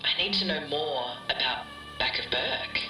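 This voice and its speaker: A woman's voice: low voice, lively intonation, a little slow. A woman playing an earnest, obsessive webmaster who talks emphatically and repeats herself on a voice call in a mystery podcast. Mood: haunted, insistent. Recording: echoey, distant call, music behind.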